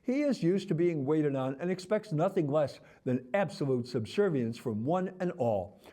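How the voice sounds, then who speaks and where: A man delivers a scripted arts review in a soft, mellow tone. His voice sits a little high for a man and moves through lively swings of pitch. The phrasing is articulate and theatrical, like a practiced critic reading aloud. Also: moderate pace.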